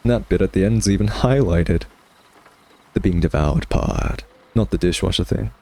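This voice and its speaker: A man's voice: low-pitched, normal speed, creaky, darkish tone. A man playing a smug male vampire in an ASMR roleplay, taunting a captured hunter with a sardonic, teasing, conversational drawl. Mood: amused snooping.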